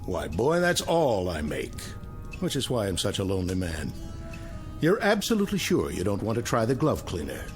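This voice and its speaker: Eccentric potion-peddling professor: lively intonation, dry and cryptic, theatrical vintage TV style. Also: male voice, on the low side, normal speed, faint music behind. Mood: sly, insinuating. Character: eccentric, sardonic professor selling costly potions. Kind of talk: vintage television drama acting.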